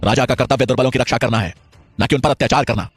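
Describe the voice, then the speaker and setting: A man's voice: medium pitch, rapid-fire, husky, voice pushed up, natural voice. A man voicing a proud, principled scholar-teacher in a historical story, formal, solemn and declamatory. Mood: defiant.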